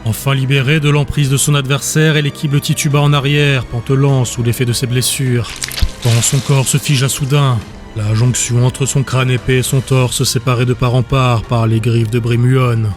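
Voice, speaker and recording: A man narrating a dark fantasy audiobook in a steady, measured storytelling voice. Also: mid-range voice, over music. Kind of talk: scripted narration.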